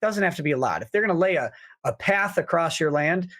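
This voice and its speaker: A man co-hosting a casual livestream chat, explaining points in a measured way with quick restarts. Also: a little high, lively intonation, a bit bright. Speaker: co-host.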